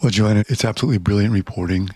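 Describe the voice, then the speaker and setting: A man's voice: on the low side, very fast, a little mellow, breathy edge, dry recording. A man co-hosting a podcast conversationally, effusive and given to rhetorical flourishes. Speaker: podcast co-host.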